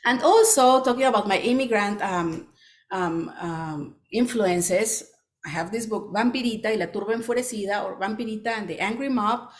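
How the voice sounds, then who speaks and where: A middle-aged woman children's author from Peru, with a Peruvian Spanish accent, giving a presentation with many pauses and restarts. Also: medium pitch. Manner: frequent ums and restarts, earnest. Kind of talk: presentation talk.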